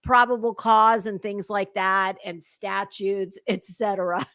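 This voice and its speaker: A woman's voice: mid-range voice, fairly even intonation, a little slow, a bit bright, no echo, clean studio sound. A woman giving casual reaction commentary as a livestream host, sarcastic, mocking and foul-mouthed. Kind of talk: casual reaction commentary over a played clip.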